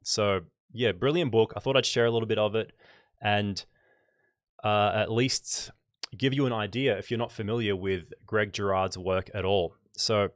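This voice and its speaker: A man in his thirties, slightly nasal and congested with a cold, casually presenting on a livestream in an unhurried, conversational way into a close microphone. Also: fairly low pitch, fairly even intonation, no room sound. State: congested from a cold, scratchy throat. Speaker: photography channel host. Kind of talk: casual livestream book review. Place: small home room, indoors. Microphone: large microphone close on a boom arm.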